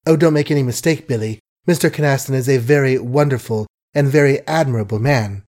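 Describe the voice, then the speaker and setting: A man's voice: mid-range voice, a little fast, a little mellow, lightly acted. A man voicing a shrewd, worldly society woman in an audiobook, with an earnest, emphatic and dramatic delivery. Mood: defensive. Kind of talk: audiobook character acting.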